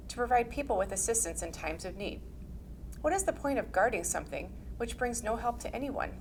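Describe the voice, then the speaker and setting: Woman reading formally, faint background noise. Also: mid-range voice. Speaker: reader. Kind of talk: scripted reading of historical religious text.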